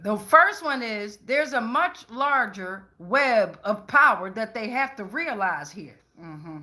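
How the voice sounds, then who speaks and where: A woman with a high, sharply bright voice reads tarot in a casual monologue. She is blunt and slangy, swears freely and rambles, often checking in with the listener. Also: expressive, slow. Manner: rambling, with frequent "right?" check-ins. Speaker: tarot reader and channeler.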